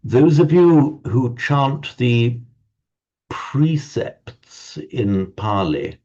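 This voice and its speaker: A man teaching a Sanskrit class in a relaxed lecture manner, often stammering and restarting words. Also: deep voice, vocal fry. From parents from Ireland. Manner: hesitant, repeats and restarts words. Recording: dull lo-fi sound.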